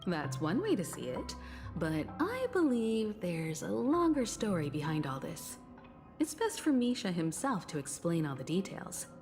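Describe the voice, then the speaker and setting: Composed, perceptive woman, lively intonation. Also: lowish voice. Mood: calm, polite. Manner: poised, deliberate, explanatory. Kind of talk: video game voice acting. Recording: long hall reverb, faint music.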